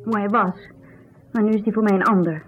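A woman's voice: medium pitch, fairly even intonation, fairly quick, soft background music, close to an everyday voice. An actress playing a frank, insistent woman friend with a reproachful streak in a naturalistic radio drama. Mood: exasperated, scornful. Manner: insistent, direct. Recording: muffled, noisy 1970s radio recording.

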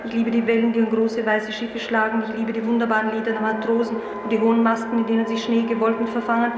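A woman's voice: a little high, lightly acted. An actress playing a dreamy, wilful young woman who yearns for the sea, lyrical and declamatory in 1950s German radio play style. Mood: rapt longing. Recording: roomy, hissy 1950s radio drama recording.

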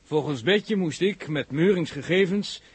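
An actor playing a pragmatic, dismissive rocket engineer in 1960s radio drama, with a Netherlands Dutch accent. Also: male voice, fairly high pitch, animated, unhurried, husky, no echo, full character voice. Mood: dismissive, emphatic.

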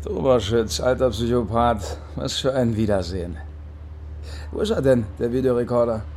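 Actor as sneering, bullying Stasi officer. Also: male voice, medium pitch, moderate pace, darkish tone, slight background noise, natural voice. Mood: mocking. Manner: mocking, menacing interrogator. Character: Lutz Viehweg, sneering, bullying East German Stasi man. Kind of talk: crime radio drama acting.

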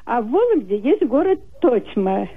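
A woman's voice: fairly high pitch, very animated, moderate pace, breathy edge, no echo. A woman calling in to a radio show to ask a language question. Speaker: caller. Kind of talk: asking a question by phone. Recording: tinny phone line.

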